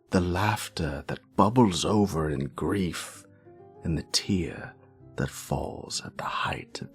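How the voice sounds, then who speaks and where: Grumpy, sassy British wizard professor, played by a man: very low voice, slow theatrical delivery, savouring every word of the lore. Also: exaggerated voice. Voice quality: gravelly, creaky. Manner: wry, theatrical, savouring words with dramatic pauses. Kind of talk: scripted in-character lore monologue.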